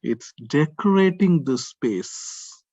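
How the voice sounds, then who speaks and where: A man playing a frightened, devout soldier in a puppet show, speaking in a halting, dramatic monologue. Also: a little high, lively intonation, darkish tone, roomy sound, lo-fi sound. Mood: resigned, pleading. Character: frightened, devout soldier trapped in a cave, praying. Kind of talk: puppet show story acting.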